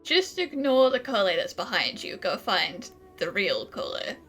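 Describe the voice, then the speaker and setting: A woman's voice: mid-range voice, big pitch swings, unhurried, fairly bright tone, soft background music. A woman giving casual, wry playthrough commentary as a gaming streamer.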